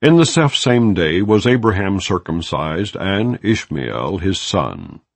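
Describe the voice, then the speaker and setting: Deep-voiced man reading scripture. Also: sing-song, creaky, no echo. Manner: measured, solemn reading. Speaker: Bible narrator.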